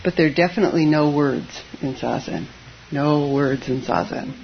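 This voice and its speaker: A soft-spoken Zen teacher giving an informal dharma talk to a live audience, hesitant and gentle, with frequent fillers and wry asides. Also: moderate pace, vocal fry. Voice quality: soft voice that tends to drop low. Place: meditation hall with a live audience. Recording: dull lo-fi audio with faint hiss.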